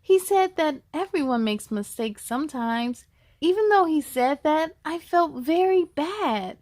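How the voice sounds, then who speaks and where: A woman hosting a children's storytime, reading aloud in a cheerful, encouraging and expressive way. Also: high voice, a little slow, dry recording, studio quality. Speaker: host of a children's read-aloud show.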